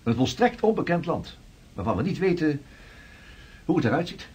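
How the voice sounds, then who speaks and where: An actor playing an earnest 16th-century Dutch explorer in a radio drama, raspy and dramatically explaining. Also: male voice, on the high side, very animated, normal speed, darkish tone, full character voice. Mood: earnest, grave.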